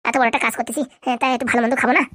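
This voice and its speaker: A high-voiced, boastful young crook played by a woman in a comedy cartoon. The boy rattles along very fast in brash slang, lazy and cocky, on a dry close recording. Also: steady tone, fairly bright tone, no room sound, lightly acted. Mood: whining.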